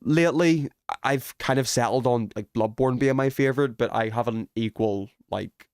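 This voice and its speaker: A man's voice: medium pitch, moderate pace, a little mellow, smooth, dry recording, studio quality. A man chatting casually as a game streamer, rambling in a self-effacing, sweary way. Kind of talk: casual chat while streaming gameplay.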